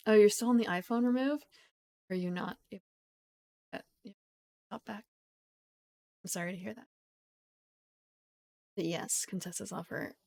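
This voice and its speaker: A woman streamer chatting casually with her viewers, rambling, giggling and correcting herself, her voice still croaky from just waking up. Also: medium pitch, normal speed, pauses often, warm tone. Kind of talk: casual live-stream chat.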